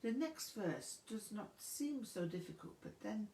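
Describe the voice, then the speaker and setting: A woman's voice: mid-range voice, animated, a little slow, dark tone. A woman reading a memoir aloud as scripted narration, voicing the dialogue within it. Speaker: audiobook reader. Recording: roomy, echoing room sound.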